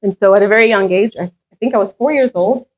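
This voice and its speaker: A middle-aged woman speaking at a community meet and greet, telling her story earnestly with now and then a light touch of humor about herself. Her first language is French, and her voice sits at an ordinary middle pitch for a woman. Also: fast talker, dull audio. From Ghana, raised in France. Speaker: head of a charitable foundation. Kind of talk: public speech at a meet and greet.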